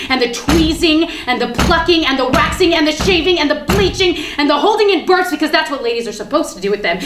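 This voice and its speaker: A high-pitched actress playing a defiant everywoman delivers a sardonic stage monologue. She is emphatic and theatrical, moving between confiding and outraged ranting. The room is clearly echoey, with some background noise. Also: a bit bright. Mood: indignant ranting. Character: ranting, defiant everywoman gleefully confessing she killed Barbie. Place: live staged reading in a room.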